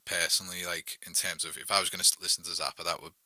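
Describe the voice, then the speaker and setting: A man's voice: deep voice, flat delivery, quick pace, bright, forceful tone, no room sound. A young man with a Liverpool accent, a songwriter guest talking loosely and casually, full of "like" and "you know what I mean". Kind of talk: casual chat.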